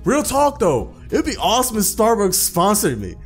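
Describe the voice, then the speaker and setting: A man's voice: high voice, huge pitch swings, normal speed, soft background music. A man hosting a gaming video with casual let's-play commentary, slangy and jokey, often repeating himself. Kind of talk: casual let's-play commentary, reading a visual novel aloud.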